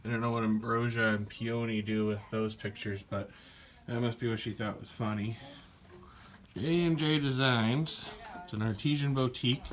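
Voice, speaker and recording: A man hosting a podcast, casually walking through a small craft store and describing it, with relaxed, rambling talk full of fillers. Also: medium pitch, moderate pace. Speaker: podcast host giving a store tour. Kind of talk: casual walkthrough narration.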